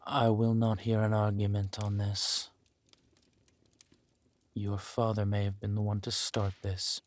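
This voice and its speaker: A man playing a menacing, possessive villain warlord and protective lover in a scripted roleplay audio drama, speaking deliberately and commandingly, dropping into breathy murmurs. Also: lowish voice, completely flat delivery, close to an everyday voice. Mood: stern, protective.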